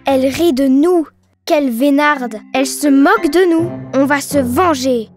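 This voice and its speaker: A small, cute baby girl with a very high, unhurried voice. She is playfully indignant and expressive, in a children's cartoon manner, with music underneath. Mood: mischievous. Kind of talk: French children's cartoon dubbing. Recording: music behind the voice.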